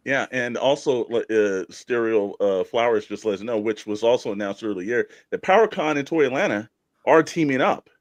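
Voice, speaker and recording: A man with a mid-pitched voice hosts a casual panel show. He talks conversationally, and the sound is close and dry, with very little room on it. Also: animated, normal speed, dry recording. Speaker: show host.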